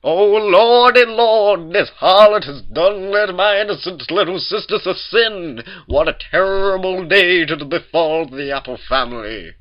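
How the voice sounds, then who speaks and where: A man voicing a hulking male farm pony who preaches in a loud, melodramatic bellow. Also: high-pitched, expressive, measured pace, few pauses, muffled recording, pitched above the performer's own voice. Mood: outraged.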